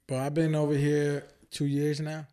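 A man's voice: on the high side, completely flat delivery, normal speed, dark tone. Casual slangy man from California telling stories. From Oakland, California. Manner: slangy, informal, peppers speech with "bro" and "like". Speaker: podcast guest. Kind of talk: casual chat, personal storytelling.

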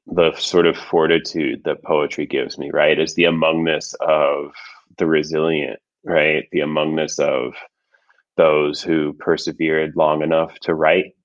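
A man's voice: a bass voice, highs cut off. A man from Iran, a poet guest, talking in an earnest, thoughtful way with lots of fillers. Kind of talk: reflective conversation.

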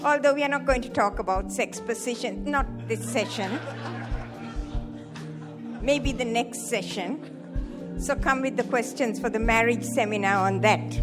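Sri Lankan woman in her seventies, high voice, playful seminar speaker, faint music behind. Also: measured pace, pauses often. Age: 70s. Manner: joking, lively, playful asides to the audience. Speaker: seminar speaker, sex therapist. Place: hall with a live audience.

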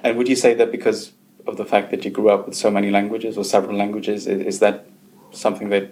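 A man's voice: lowish voice, flat delivery, racing, darkish tone. A man interviewing a guest, asking short prompting questions and offering frequent brief acknowledgements.

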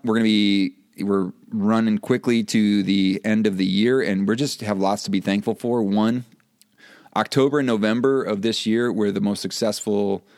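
Casual, low-voiced male podcast host. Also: a little mellow. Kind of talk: casual conversational podcast interview.

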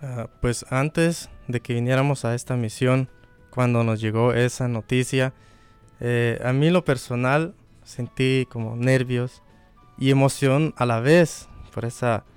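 A man's voice: medium pitch, fairly even intonation, a little slow, a little mellow, clean tone, faint music behind. A man from Guatemala giving a sincere, reflective missionary testimony. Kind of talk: heartfelt testimony.

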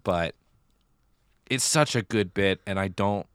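Guy with a really deep voice, podcast host chatting about theatre, opinionated, super dry sound. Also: slow pace, fairly bright tone, clear, resonant tone, no room sound. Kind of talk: casual chat about musical theatre.

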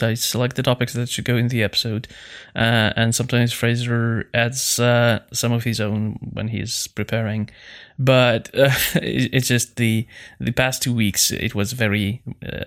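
A man co-hosting a podcast in casual, unscripted talk, halting and often restarting his phrases. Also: mid-range voice, a bit bright. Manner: halting, with repeated false starts. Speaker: podcast co-host and producer.

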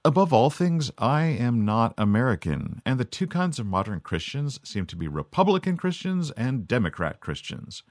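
A man's voice: medium pitch, sing-song, normal speed, few pauses, a little mellow, no echo. A middle-aged man from Canada delivering a sardonic, scripted monologue as podcast host. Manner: sardonic, dry.

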